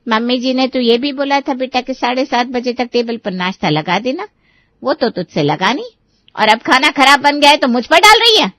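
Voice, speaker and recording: A woman's voice: high voice, very animated, normal speed, a bit bright, dry recording. A woman voicing a nagging, suspicious middle-aged mother-in-law who scolds and insinuates. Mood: scolding. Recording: dull lo-fi recording.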